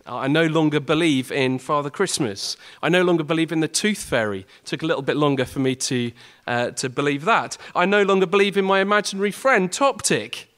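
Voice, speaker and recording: A man's voice: fairly high pitch, fairly bright tone. A young man, a student, giving a prepared talk to fellow students in an earnest style and building his points with rhetorical questions. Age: young adult. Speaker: student speaker.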